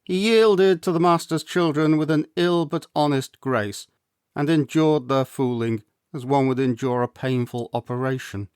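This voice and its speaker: A man narrating a classic novel as a storyteller, with measured, deliberate phrasing and dramatic pauses. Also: on the high side, a little mellow, smooth, no room sound, clean studio sound. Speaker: storyteller, audiobook reader.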